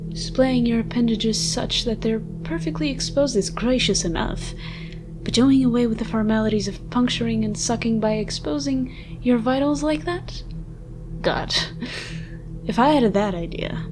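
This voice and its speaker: A woman playing a haughty, sardonic ancient vampire with a soft side, speaking in wordy mock-formal lines in a dramatic ASMR roleplay. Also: fairly high pitch, moderate pace, lightly acted. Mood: gloating, amused. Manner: theatrical, wordy, dry mock-formal speech. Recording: music behind the voice. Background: music.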